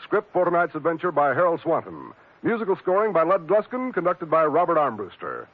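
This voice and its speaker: A man's voice: on the high side, very animated, fairly quick. A man working as a network radio announcer, reading scripted 1950s broadcast announcements. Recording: tinny old radio broadcast recording.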